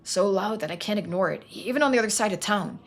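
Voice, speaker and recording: A woman voicing a troubled young man trapped in his town, speaking haltingly and sometimes in a near whisper, for a story game. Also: medium pitch, animated. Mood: anxious, haunted.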